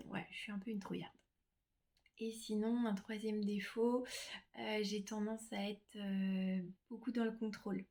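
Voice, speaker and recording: A middle-aged woman introduces herself to her clients in a soft, unhurried way. She hesitates often and fills the gaps with small pauses as she goes. Her voice sits at an ordinary middle pitch. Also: vocal fry. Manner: gentle, hesitant, many pauses and fillers. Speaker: reflexologist. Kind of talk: casual self-presentation to clients.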